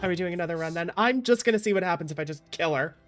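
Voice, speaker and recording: Lowish-voiced woman streaming a game. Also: racing. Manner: rambling, repeats phrases, thinks aloud. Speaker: streamer playing a visual novel. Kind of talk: casual live game commentary. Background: game music.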